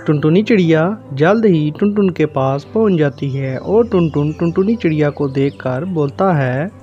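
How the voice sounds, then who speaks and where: A man's voice: on the high side, expressive, normal speed, warm tone. A man narrating a scripted cartoon moral story as the storyteller, in a lo-fi recording. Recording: dull lo-fi sound with music. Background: music.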